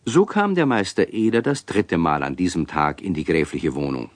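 A male storyteller narrates a children's radio play in a deep voice. His intonation is lively, and the sound is close and dry. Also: normal speed, no echo.

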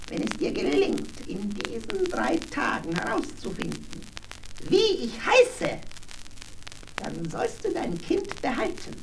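A man playing a gleeful, spiteful little goblin in a fairy-tale radio play, with a shrill cackling voice and a taunting, gloating delivery. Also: wildly swooping pitch, takes it slowly, gravelly, slight background noise, exaggerated voice, low-quality recording. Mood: gloating, demanding.

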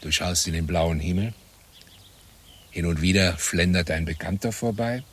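Ruhiger, tiefer Erzähler. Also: male voice, deep voice. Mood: wry, relaxed. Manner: unhurried, matter-of-fact, pausing between phrases. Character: calm, wry man recalling a campus tragedy. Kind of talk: German radio play narration.

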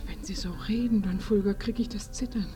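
An actress in a radio play voicing a bashful, flustered village bride-to-be who is devout and humbly grateful, hesitant and often close to whispering. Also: female voice, medium pitch, fairly even intonation, fairly quick, over music, close to an everyday voice.

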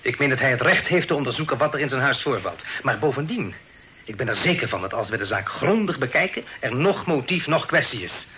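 An actor playing a proud, chivalrous young gentleman in a radio play, speaking formally and firmly with measured indignation. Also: medium pitch, very animated, close to an everyday voice. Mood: reasonable, formal. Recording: hissy old radio drama recording.

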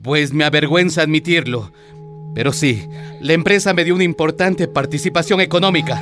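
An actor playing an embarrassed, apologetic town mayor with a hesitant delivery in a radio drama. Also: male voice, a little high, brisk, music in the background, close to an everyday voice. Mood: ashamed.

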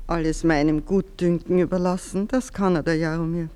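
An actress playing an older baroness and mother in a classic radio play, speaking in a brisk, commanding manner. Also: on the low side, clear, resonant tone, lightly acted. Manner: brisk, commanding, lively inflection. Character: aristocratic older woman, the baroness of the house.

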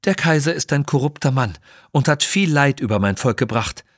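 A polite young girl from China, voiced by a man, pleading and earnest. Her voice sits clearly raised, in a clean, dry children's audio story recording. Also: medium pitch, animated, normal speed, no room sound, pitched above the performer's own voice. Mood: earnest, concerned.

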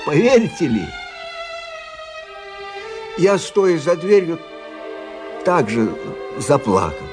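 An actor playing a kindly, sentimental older army captain telling a story in a folksy, hesitant way in a radio play. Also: male voice, on the high side, very animated, takes it slowly. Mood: moved, embarrassed. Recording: radio play with faint music.

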